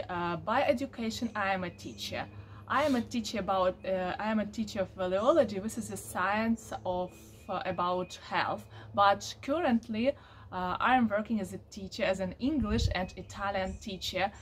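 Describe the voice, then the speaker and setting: Woman in halting English. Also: mid-range voice, a little hiss or hum. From Ukraine. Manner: halting non-native English with many fillers. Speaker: co-owner of a marriage agency. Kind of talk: casual video introduction. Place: covered porch outdoors.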